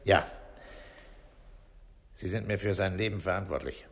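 An actor playing a middle-aged police commissioner in a radio crime drama, terse, calm and dryly authoritative. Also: male voice, low-pitched, unhurried. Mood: stern. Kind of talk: 1960s German radio crime drama acting.

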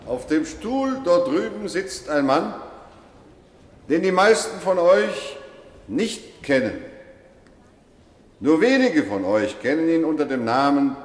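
An actor playing a kindly older male schoolteacher, giving a solemn, measured speech to his pupils in an old radio drama. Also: sing-song. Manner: solemn, measured speech with deep dips in pitch. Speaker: teacher addressing pupils. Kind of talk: 1950s radio drama acting. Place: large hall. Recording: roomy hall reverb, old radio play.

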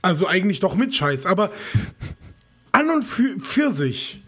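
A man co-hosting a podcast in casual chat, joking and rambling with crude humor, hoarse with a stuffed nose. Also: sits high, sing-song, a little slow, bright, forceful tone. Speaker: podcast co-host.

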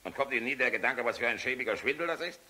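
An actor in a German radio play playing a defiant, world-weary general, dry, terse and sarcastic, with a rough edge to the voice. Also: male voice, mid-range voice, lively intonation, rapid-fire, pushed and bright. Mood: dry, mocking.

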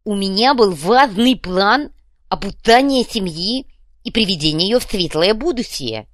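A loud, high-pitched savage gang leader acted out by a woman for an audiobook. He is dim and puffed up with his own importance, blustering in crude slang with big swings of pitch. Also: big pitch swings, moderate pace, no room sound, clean studio sound. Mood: self-important. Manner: crude slangy speech, pompous and indignant. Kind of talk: audiobook character voice.

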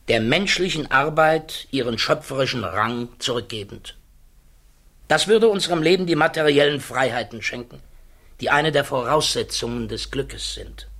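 A grave male physicist in a radio play, weighing his conscience aloud. His middle-register voice moves in formal, deliberate phrases, measured and reflective, in polished stage German. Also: mid-range voice, fairly bright tone. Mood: somber, urgent.

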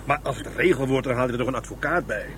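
An actor with a Netherlands Dutch accent playing a down-to-earth, opinionated police detective in a radio drama, matter-of-fact and colloquial. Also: male voice, medium pitch, fast talker, a bit bright, a little hiss or hum, lightly acted. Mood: speculating.

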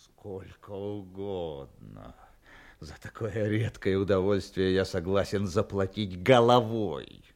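An actor playing a cold, mocking ship's master in an audio drama, deliberate, sardonic and threatening. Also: male voice, low voice, animated, vocal fry, darkish tone, full character voice. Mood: sardonic menace.

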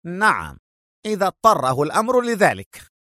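A man voicing a haughty, hot-tempered British gentleman spy in an audio story, indignant, defiant and threatening. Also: sits high, huge pitch swings, normal speed, no room sound, clean studio sound, pitched above the performer's own voice, heavily acted.

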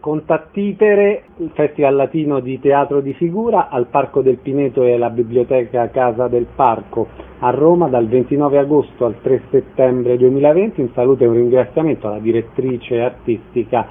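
A man's voice: medium pitch, moderate pace. A man hosting a radio interview, delivering prepared introductions and questions. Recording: narrow phone-like radio sound.

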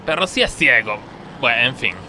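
A man's voice: medium pitch, lively intonation, normal speed, heavy background noise, close to an everyday voice. A man with an Argentine accent playing an agreeable, literal-minded factory worker in a comedy radio sketch. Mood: plotting.

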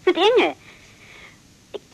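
An actress playing a hurt, lovelorn music student in a radio drama, speaking tentatively and pleadingly. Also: female voice, extremely slow, poor audio. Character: hurt, pleading female cello student in love. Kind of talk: naturalistic radio drama dialogue.

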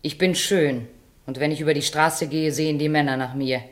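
A woman's voice: low-pitched, fairly even intonation, moderate pace, close to an everyday voice. An actress playing a desperate, compelling tragic woman in a radio play, solemn and declamatory. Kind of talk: 1960 German radio play acting.

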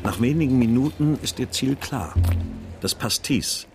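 An actor playing a brooding, grieving writer who narrates a radio drama in a subdued, introspective manner. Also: male voice, mid-range voice, expressive, a little slow, music in the background. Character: brooding married male writer, grieving missing daughter. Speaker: narrator and lead character. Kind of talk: first-person radio drama narration and dialogue.

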